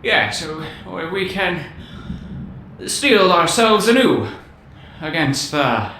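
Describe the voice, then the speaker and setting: An actor playing an anxious, poetic professor in a 1930s radio adventure, with a fretful, literary and theatrical manner. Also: male voice, fairly high pitch, big pitch swings, slow pace, sharp tone, heavily acted. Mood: drowsy. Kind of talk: 1930s radio drama acting. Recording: echoey, slight background noise.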